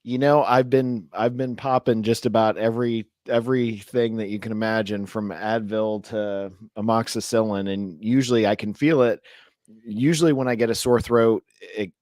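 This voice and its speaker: A man co-hosting a casual podcast chat, hoarse with a sore throat, talking close to his mic in a rambling, chatty way. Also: fairly low pitch, steady tone, moderate pace, darkish tone, no echo. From Ohio. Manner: chatty, rambling, laughs often. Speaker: podcast co-host. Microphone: mic held very close.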